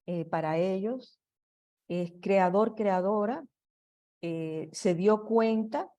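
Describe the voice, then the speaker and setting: Slow, halting woman giving a lecture. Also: mid-range voice, dark tone. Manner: slow and halting, with restarts and fillers. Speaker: presenter. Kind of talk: slide presentation lecture.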